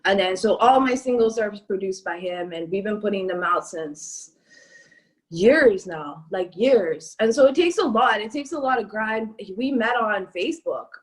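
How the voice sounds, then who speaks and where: A woman's voice: medium pitch, lively intonation, normal speed, poor audio. A young woman from Canada, a rapper, chatting casually in an interview and filling her talk with "like" and "um". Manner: chatty, lots of "like" and "um". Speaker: rapper guest.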